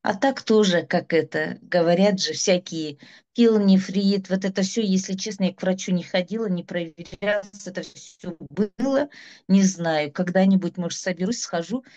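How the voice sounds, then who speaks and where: A woman's voice: mid-range voice, moderate pace, a little mellow. A middle-aged woman, giving a chatty, rambling personal testimonial about her health. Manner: chatty, rambling, listing ailments. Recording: dull lo-fi sound.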